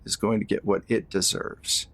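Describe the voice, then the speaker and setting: A man playing a vengeful, hot-headed fairy prince in a tabletop game, speaking in a cold, menacing, measured way. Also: fairly low pitch, little pitch movement, a bit bright, no echo. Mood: cold, bitter.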